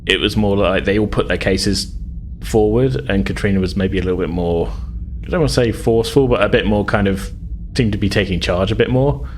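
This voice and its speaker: A man's voice: lowish voice, brisk, a little hiss or hum. A man running a tabletop roleplaying game, narrating and explaining events in a hesitant, conversational way. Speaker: game master.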